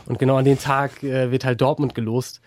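A young man in a casual interview, talking hesitantly with many fillers and restarts. His voice is dark and mellow, recorded close and dry. He is a musician speaking as a guest. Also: medium pitch, little pitch movement, fairly quick, dry recording. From Germany.

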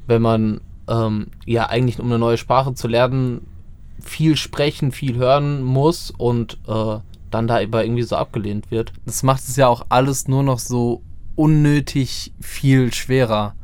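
A man who hosts a casual interview and asks questions in a relaxed, chatty way. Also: medium pitch, unhurried, clear, resonant tone. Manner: relaxed, chatty, laughs easily.

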